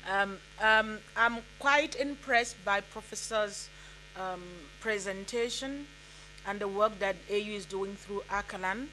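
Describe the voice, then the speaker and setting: A woman's voice: medium pitch, unhurried, bright, forceful tone, a little hiss or hum, low-quality recording. A woman from Nigeria whose first language is Igbo, speaking earnestly from the floor at a conference, with frequent ums and restarts. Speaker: audience member. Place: conference hall.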